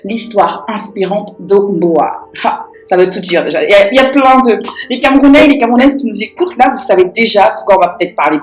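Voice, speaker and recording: A woman co-hosting a live radio show, slightly hoarse from losing her voice, rambling and searching for her words. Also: mid-range voice, animated, a little fast, echoey room, soft background music, poor audio. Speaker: radio co-host.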